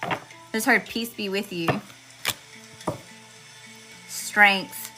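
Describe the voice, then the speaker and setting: A woman reading tarot cards for her viewers in a chatty, slangy way, calling them y'all and listing card meanings one word at a time, with her voice going hoarse. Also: mid-range voice, extremely slow, music in the background, low-quality recording. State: losing her voice. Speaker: tarot reader channeling messages. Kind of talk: casual spiritual reading to viewers.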